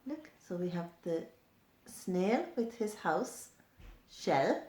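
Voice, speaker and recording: A woman's voice: lowish voice, big pitch swings, slow, darkish tone, roomy sound. A woman reading a picture book aloud to young children, often prompting them with simple questions. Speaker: storyteller for children.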